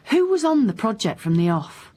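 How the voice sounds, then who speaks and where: A woman acting a dry, sceptical police inspector in a radio drama, questioning in a measured, pointed way. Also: on the high side, big pitch swings, warm tone. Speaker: actor in radio crime drama.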